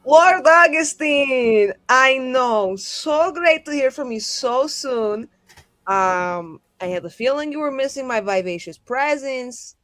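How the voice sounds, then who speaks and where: Woman playing a sassy, vivacious, slow-drawn flirty adventuress. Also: high-pitched, expressive, fairly bright tone, no room sound, pitched above the performer's own voice, exaggerated voice. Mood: gushing, coaxing. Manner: rambling, sassy, theatrical, casual modern slang. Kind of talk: improvised tabletop roleplay acting.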